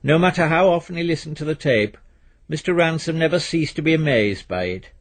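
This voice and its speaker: Slightly husky man narrating fiction. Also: a little high, expressive, fairly quick. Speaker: narrator reading fiction aloud. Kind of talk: scripted literary narration.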